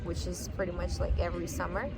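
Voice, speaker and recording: Young female host, native Spanish speaker, loose chatty delivery; clear street noise under the voice. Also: fairly low pitch, little pitch movement, fast. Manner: chatty, many fillers like "like" and "you know". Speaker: podcast host. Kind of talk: casual interview chat.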